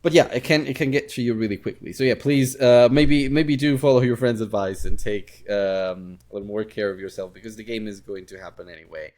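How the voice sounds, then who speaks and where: A man hosting a casual podcast interview, speaking in a hesitant, halting way. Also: fairly low pitch, a little mellow. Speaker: podcast host.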